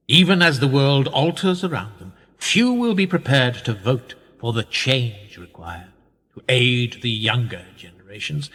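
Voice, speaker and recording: An actor playing an older, patronising and manipulative establishment man in a radio play, speaking in measured rhetorical phrases. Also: medium pitch, fairly bright tone, breathy edge, in a large hall. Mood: smug lecturing.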